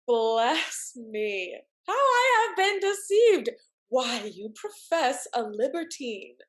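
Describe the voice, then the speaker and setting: A woman acting a sharp-witted, effusive married lady in a Restoration comedy, theatrical and mannered. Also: high-pitched, big pitch swings, slow pace, heavily acted. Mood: mock fervour.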